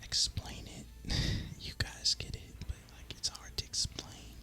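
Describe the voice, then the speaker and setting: A man whispers throughout, breathy and crisp, close to the microphone. He rambles casually like an ASMR gaming host, with an easy, relaxed delivery and a bright, hissy edge to the whisper. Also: measured pace.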